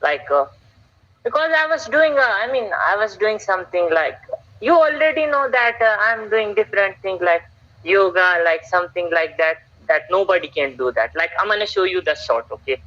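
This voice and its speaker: A young man from Saudi Arabia, a guest on a casual live stream, talking haltingly with many repeats and fillers. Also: sits high, animated, pushed and bright, muffled recording. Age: young adult.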